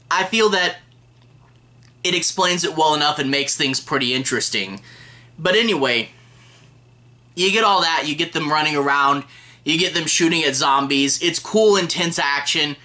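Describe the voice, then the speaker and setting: A man's voice: on the high side, bright, forceful tone. A man in his thirties chatting enthusiastically and casually to camera as a film reviewer. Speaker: movie reviewer, host. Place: small room lined with DVD shelves.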